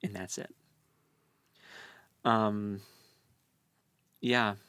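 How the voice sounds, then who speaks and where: A man's voice: on the low side, steady tone, very slow, pushed and bright, smooth, no room sound. A man co-hosting a book discussion in a casual chat style, thinking aloud in a hesitant way. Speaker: podcast co-host.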